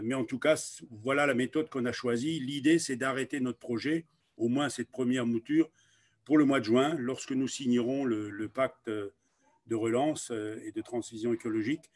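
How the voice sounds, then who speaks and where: A man speaks at a middle pitch, as a local official presenting to an online meeting. His delivery is deliberate and halting, with frequent pauses while he finds his words. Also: a little mellow.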